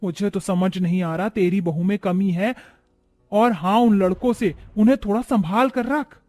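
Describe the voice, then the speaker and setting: A man puts on a meddling village neighbour woman for a family story. Her high voice tattles with theatrical scandal. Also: steady tone, moderate pace, a little mellow, lightly acted. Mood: scandalised.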